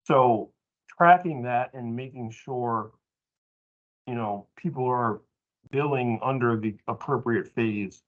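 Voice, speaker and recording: A man informally explaining a point at a workplace training meeting, halting at times and emphatic. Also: mid-range voice. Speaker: training participant adding comments. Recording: dull lo-fi meeting audio.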